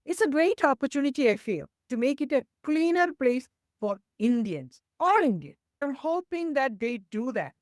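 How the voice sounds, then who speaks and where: A woman co-hosting a discussion, speaking in an indignant, forceful way. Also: high voice, big pitch swings, moderate pace, no room sound, studio quality. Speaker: co-host.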